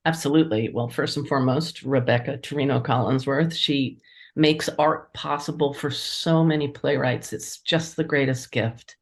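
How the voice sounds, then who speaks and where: A woman with a distinctly low-pitched voice gives conversational answers in an interview. She speaks as a writer about her work, with an appreciative, enthusiastic manner. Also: steady tone, moderate pace. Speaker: interviewed playwright and editor.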